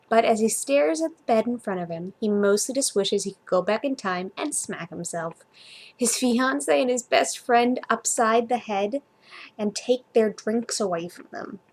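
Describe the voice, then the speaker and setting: A woman vlogger chatting casually and reading a story aloud informally, sometimes stumbling over her words. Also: fairly high pitch.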